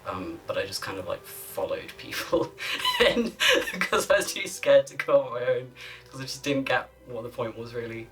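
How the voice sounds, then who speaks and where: A man in his twenties speaking hesitantly and softly in a documentary interview. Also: medium pitch, expressive, normal speed. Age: 20s. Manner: hesitant, soft-spoken.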